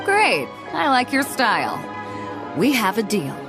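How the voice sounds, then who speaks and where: A woman's voice: a little high, wildly swooping pitch, unhurried, full character voice. A woman voicing a bold, confident female pirate captain in a video game cutscene, talking in a brash, teasing and commanding way. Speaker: fleet captain. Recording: game audio with background music.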